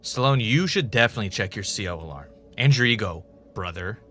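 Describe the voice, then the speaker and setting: A man's voice: lowish voice, lively intonation, fast, fairly bright tone, faint music behind. A man playing an earnest, dogged podcaster investigating a mystery in a naturalistic audio drama, with a wry, self-dramatizing streak. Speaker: podcast host in a scripted drama.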